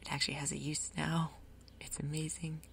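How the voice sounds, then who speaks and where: Low, creaky, half-whispering woman. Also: flat delivery, fairly quick, slight background noise. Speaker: gaming video host. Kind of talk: soft-spoken ASMR game commentary.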